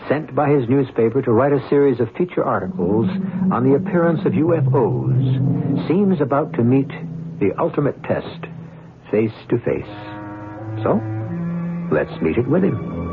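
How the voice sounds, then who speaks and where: An actor playing a wry, musing storyteller who narrates a radio drama with deliberate, theatrical phrasing. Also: male voice, medium pitch, lively intonation, background noise. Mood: musing, suspenseful. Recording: tinny, band-limited old radio sound. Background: music.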